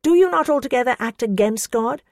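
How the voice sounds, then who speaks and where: A woman's voice: animated, brisk, a little mellow, no echo, studio quality. A woman voicing a defiant, pious woman who scolds her accusers with rising passion. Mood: fervent. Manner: impassioned, scolding, rising pitch.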